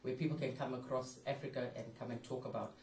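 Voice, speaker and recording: Guy talking fast about his group's events, promo tone, off mic, faint hum. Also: mid-range voice, fairly even intonation, a little mellow, roomy sound, distant mic. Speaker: interview guest, movement leader.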